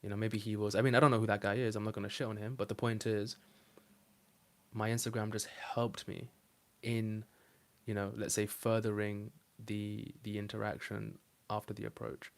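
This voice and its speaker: A man's voice: on the low side, little pitch movement, moderate pace, gravelly. A young man coaching on dating, talking casually to camera and answering viewer comments, loose and rambling with many fillers.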